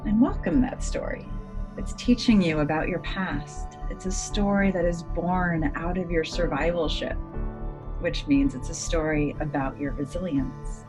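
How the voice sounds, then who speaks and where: Low-pitched woman guiding a meditation over music. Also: poor audio. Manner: gentle, measured, soothing instruction with deliberate pauses. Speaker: clinical psychologist guiding a meditation. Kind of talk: guided meditation.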